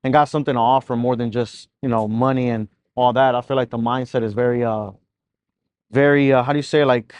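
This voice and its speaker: A man's voice: medium pitch, steady tone, fairly quick, clear, resonant tone, no room sound. A man hosting a casual podcast interview, asking his guest questions in a laid-back, chatty way. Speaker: podcast host.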